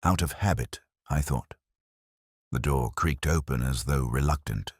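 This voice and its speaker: Man, bottomless low pitch, husky, solemn literary narration, detective fiction, measured phrasing. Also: no echo, clean studio sound. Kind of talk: scripted first-person detective story narration.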